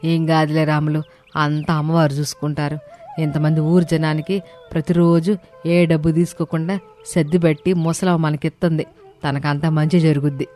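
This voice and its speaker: A woman voicing a devout, reassuring village aunt in an animated moral story. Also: sits low, moderate pace, pitched below the performer's own voice, close to an everyday voice. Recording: music behind the voice.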